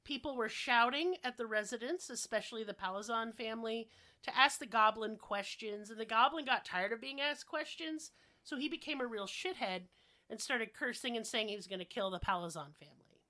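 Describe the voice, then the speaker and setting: A woman's voice: fairly high pitch, fairly quick, pushed and bright. A woman hosting a podcast while sick, telling stories in a casual, chatty, joking way with slang and swearing. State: sick, coughing.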